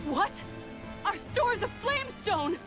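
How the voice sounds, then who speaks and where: Video game exorcist commander: young, haughty, vengeful, very high and dramatic, over loud music. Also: female voice, sing-song, slow, full character voice. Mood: furious, commanding. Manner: commanding, indignant. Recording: loud music and clashing sounds behind. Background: loud music, smashing.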